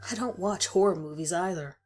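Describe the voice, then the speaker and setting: A woman's voice: on the low side, fairly quick, darkish tone. A woman reading a first-person horror story as a dramatic scripted narration. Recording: music behind the voice. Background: music.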